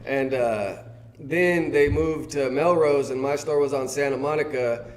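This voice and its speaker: A man with a Californian accent, a guest in a casual conversation, telling stories in a relaxed, rambling way. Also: medium pitch, a little slow.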